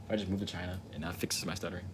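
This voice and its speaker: A young man with a very deep, low voice talks casually while painting, with a stutter. He rambles and reflects, repeating words and circling back to restart his thoughts. Steady background noise runs under the recording. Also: rapid-fire. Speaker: livestreaming painter. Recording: noticeable ambient noise.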